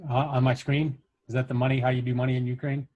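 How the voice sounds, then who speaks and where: Male workshop instructor, mid-pitched, informal and halting, walking learners through software step by step. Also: flat delivery, dull audio. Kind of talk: casual screen-share coding tutorial.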